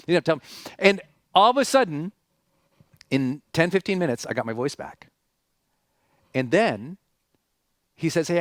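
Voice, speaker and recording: Male seminar host, higher-pitched voice, emphatic motivational delivery with punchy repetition, close dry sound. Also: no room sound. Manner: emphatic, repeats phrases for effect, rhetorical questions. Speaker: seminar host and speaker introducing a guest. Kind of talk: motivational stage talk, storytelling and guest introduction.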